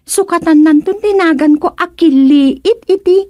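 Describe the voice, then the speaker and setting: A woman's voice: extremely high pitch, measured pace, dark tone, no room sound, studio quality. A woman playing a coy, eager young daughter in an Ilocano radio comedy-drama, with an Ilocano accent. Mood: giggly, coy.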